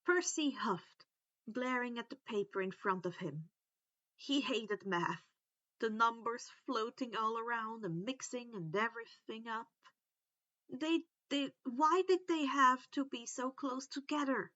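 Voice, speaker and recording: Female story narrator, high voice, expressive delivery with drawn-out emphasis, slightly muffled lo-fi sound. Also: no echo. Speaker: audiobook-style reader of fan fiction.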